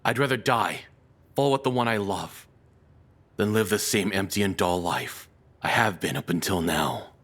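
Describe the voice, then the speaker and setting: A low, breathy male voice for an obsessive, unhinged captor acted by a man in an audio roleplay. He confides softly and haltingly, tender toward his beloved yet calmly menacing. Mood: resigned, lovesick. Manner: soft, confessional, hesitant, calmly menacing. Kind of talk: intimate scripted audio roleplay monologue.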